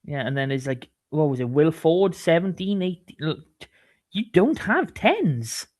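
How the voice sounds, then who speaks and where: A man co-hosting a sports podcast, talking casually about rugby in a halting way, often repeating words. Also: fairly high pitch, big pitch swings, a little mellow, no room sound, clean studio sound.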